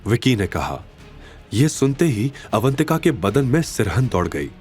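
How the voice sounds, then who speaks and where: A man narrating a scripted story in a dramatic, expressive and suspenseful manner. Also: mid-range voice, unhurried, soft background music.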